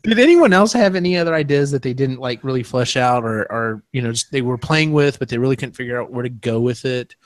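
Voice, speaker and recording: A man's voice: medium pitch, lively intonation, fast, hardly any pauses, no room sound. A man hosting a casual panel chat, rambling a little as he feels for words. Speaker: podcast host.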